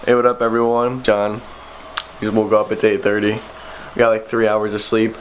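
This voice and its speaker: A man's voice: fairly low pitch, completely flat delivery, moderate pace. A young man chatting casually to a camera held at arm's length, as a vlogger on a trip. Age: young adult. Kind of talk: casual vlog chat to camera. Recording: noisy handheld camera audio.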